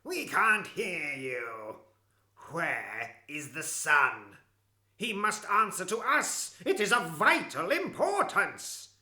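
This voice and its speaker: Female actor as officious, precise radio-play bureaucrat; slow, hard-edged and very bright voice, roomy echo. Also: fairly low pitch, big pitch swings, heavily acted. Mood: stern, demanding. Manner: commanding, precise, clipped. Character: stern, officious town Speaker obsessed with efficiency. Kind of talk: family audio drama acting. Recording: echoey room.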